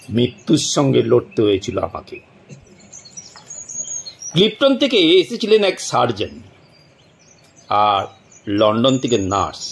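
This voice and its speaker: Male narrator, dramatic scripted storytelling, unhurried suspenseful pauses, very animated intonation, faint background noise with birds. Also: mid-range voice, vocal fry. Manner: deliberate, suspenseful phrasing with long pauses.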